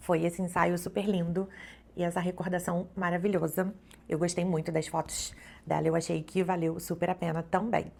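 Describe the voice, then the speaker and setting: A woman's voice: mid-range voice, vocal fry, darkish tone. A middle-aged Brazilian woman, a travel vlogger and photographer, chatting casually to her viewers with lots of little fillers. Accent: Brazilian Portuguese. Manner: chatty, frequent "né" and "então" fillers. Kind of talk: casual chat to camera.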